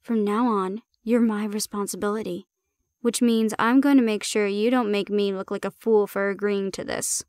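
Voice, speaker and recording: A woman's voice: mid-range voice, fairly even intonation, moderate pace, darkish tone, dry recording, studio quality, close to an everyday voice. A woman playing a cocky, unafraid female handler in an audio roleplay, with a blunt, teasing, assertive manner. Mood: firm, challenging. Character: bold, cocky non-human female handler, sardonic and unafraid.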